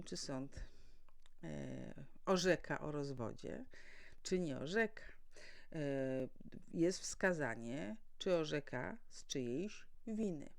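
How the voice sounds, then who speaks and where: A woman's voice: on the low side, gravelly, a little mellow. A woman presenting a formal, prepared legal explainer as an educator, setting out points one by one in a didactic way.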